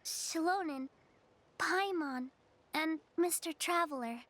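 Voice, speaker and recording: A woman's voice: very high-pitched, slow pace, fairly bright tone, slight background noise, exaggerated voice. A woman voicing a timid, fragile young girl, sad and hesitant, in a video game. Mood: timidly grateful.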